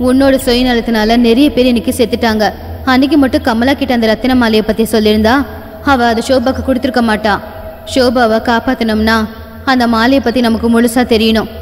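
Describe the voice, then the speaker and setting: A woman voicing a stern but reassuring old sorceress grandmother in an animated horror bedtime story, giving firm orders and solemn incantations. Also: a little high, a little fast, in a large hall, natural voice.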